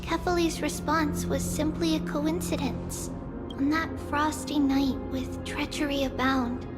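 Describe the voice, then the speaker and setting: A woman voicing a solemn young seer in a video game, guiding visitors through memories in measured, formal, wistful speech. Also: high-pitched, steady tone. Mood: calm, wistful. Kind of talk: video game voice acting, story narration. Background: game music.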